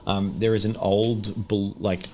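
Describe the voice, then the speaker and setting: A man with a low voice narrates a tabletop game as game master. His delivery is casual and descriptive, improvised as he goes, over clear background noise with clicks. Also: little pitch movement, measured pace, darkish tone.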